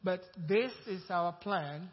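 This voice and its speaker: A man's voice: high-pitched, takes it slowly, slight background noise. A man with a Nigerian accent, speaking as a pastor to his congregation in a rambling, conversational way. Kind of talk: informal sermon announcement. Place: church sanctuary. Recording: muffled church hall sound with long reverb.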